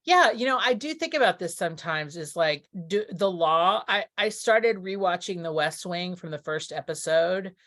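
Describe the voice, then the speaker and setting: A woman's voice: lowish voice, animated, moderate pace, hardly any pauses, a bit bright. A middle-aged woman from Texas giving casual interview answers, thinking aloud and correcting herself as she goes. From Texas, United States. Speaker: interview guest, theatre producer.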